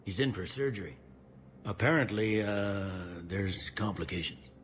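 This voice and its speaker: A gruff police commander for a video game. The voice sits low and the talk is blunt and folksy, straight to the point. Background ambience is audible around him. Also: male voice, lively intonation, a little slow, fairly bright tone, a little hiss or hum. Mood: grim, matter-of-fact. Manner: blunt, colloquial, no-nonsense. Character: gruff, plain-spoken middle-aged male police commander. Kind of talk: video game cutscene acting.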